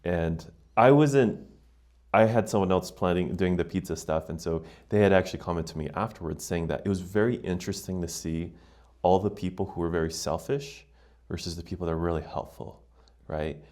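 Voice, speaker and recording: Deep-voiced American man talking casually. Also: brisk, a little mellow. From United States. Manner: reflective, explanatory, often checks in with "right?". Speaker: podcast guest. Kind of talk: casual conversational interview.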